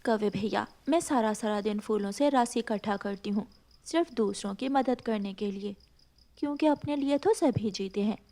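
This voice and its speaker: A kind female nightingale healer from a cartoon, played by a woman. She has a mellow, mid-pitched voice and a calm, earnest manner. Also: normal speed, lightly acted.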